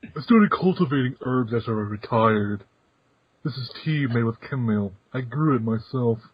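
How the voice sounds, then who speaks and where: A man voicing a genial, teasing retired old council chairman in a fan podcast read-through, with playful, drawn-out pauses. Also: medium pitch, breathy edge, no echo, natural voice. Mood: gentle. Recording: muffled, dry lo-fi recording.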